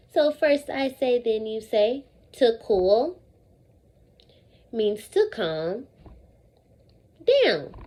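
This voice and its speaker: A woman with a high, mellow voice leads a read-aloud lesson as an elementary teacher. She takes her time, stressing key words and repeating them for young pupils in an animated call-and-response manner. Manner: animated, repetitive call-and-response with deliberate emphasis and questions to pupils.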